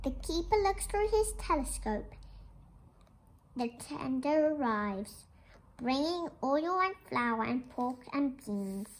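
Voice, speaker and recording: A woman's voice: high voice, big pitch swings, slow, rough recording. A young girl reads a children's picture book aloud, explaining it and asking questions like a little teacher. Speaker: young storybook reader.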